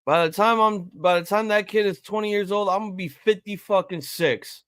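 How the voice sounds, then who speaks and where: A man in his thirties hosting a live-reaction stream, chatting casually and rambling with profanity and sudden loud excited outbursts. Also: sits high, moderate pace, clear, resonant tone, no echo, studio quality. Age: 30s. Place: home room at a table.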